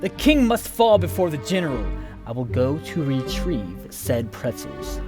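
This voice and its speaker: A man's voice: mid-range voice, sing-song, unhurried, over music. A man playing a moody young worker in a comedy audio drama, given to pompous, mock-archaic, grandiose rants. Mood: bitter, pompous.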